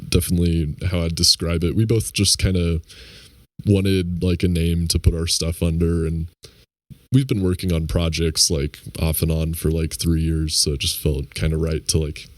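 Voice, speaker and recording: A man with a very deep voice, a game developer guest speaking over a remote call in a laid-back, halting way full of filler words. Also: little pitch movement, no echo. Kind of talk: casual interview chat.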